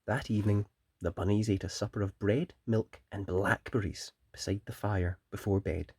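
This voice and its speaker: A children's story reader and host, narrating a picture book in a gentle, deliberate and expressive way. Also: low voice, slightly rough, dry recording, studio quality.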